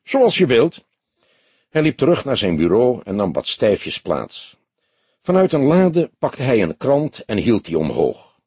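A man giving an expressive scripted narration of a detective novel. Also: fairly low pitch, measured pace, breathy edge, no echo. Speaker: audiobook narrator.

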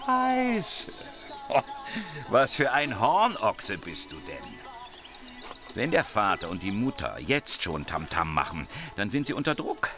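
Gruff, deep-voiced, irritable mobster. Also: male voice, huge pitch swings, slow, music in the background, full character voice. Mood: agitated. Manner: gruff, cursing, defensive. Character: coarse, irritable male Sicilian mobster with guilty secret. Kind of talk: radio crime drama dialogue.

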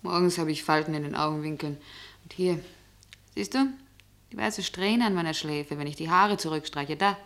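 Low-voiced, melancholy middle-aged waitress. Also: female voice, moderate pace, fairly bright tone. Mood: wistful. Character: melancholy, wistful ageing waitress in love. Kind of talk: radio play acting.

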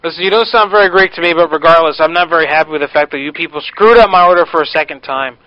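A brash, demanding man plays an indignant customer in a prank call, his voice sitting a little high. The radio recording is dull and lo-fi. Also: racing, no echo. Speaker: prank caller, radio host.

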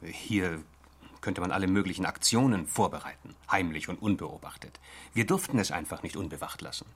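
An actor playing an uneasy space official reviewing secret tapes, hesitant and measured, in a radio play. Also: male voice, low voice, breathy edge. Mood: measured, defensive.

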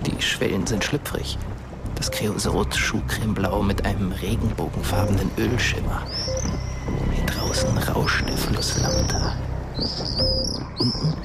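An actor playing a brooding dead teenage boy who narrates a radio drama in a measured, hushed way over music. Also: fairly low pitch. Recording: music bed behind the voice. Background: loud atmospheric music.

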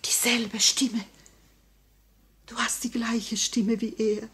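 An actress playing a proud, desperate widowed grand duchess in a 1950 radio play, solemn, intense and mournful. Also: female voice, a little high, little pitch movement, slow, fairly bright tone, breathy edge, full character voice. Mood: bitter.

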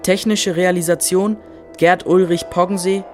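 A man reading radio drama credits as an announcer, in a measured, formal manner. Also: high-pitched, flat delivery, darkish tone, soft background music.

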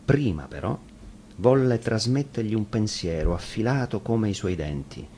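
A man's voice: fairly low pitch, expressive, moderate pace, mellow. A man narrating a scripted fairy tale dramatically, as a storyteller. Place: radio studio. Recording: faint background noise.

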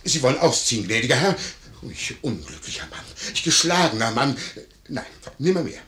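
An actor playing a flustered, scheming, obsequious innkeeper in a 1950s German radio play, stammering and wheedling. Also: male voice, medium pitch, very animated, a little fast, sharp tone. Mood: servile pleading.

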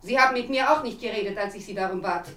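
A woman's voice: medium pitch, fast talker, pushed and bright, close to an everyday voice. Cold, vengeful woman played by an actress, distant. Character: veiled, coldly vengeful woman confronting her blackmailer. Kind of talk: radio drama acting. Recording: distant, echoey room in radio drama.

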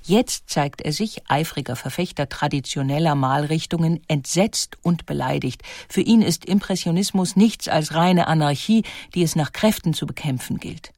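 A woman reading scripted documentary narration, articulate and deliberate, with emphatic storytelling. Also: low-pitched, darkish tone, no room sound, studio quality.

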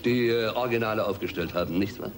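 An actor playing a probing, condescending police inspector in a German radio play, with halting questions that often end in a tag. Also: male voice, on the low side, close to an everyday voice.

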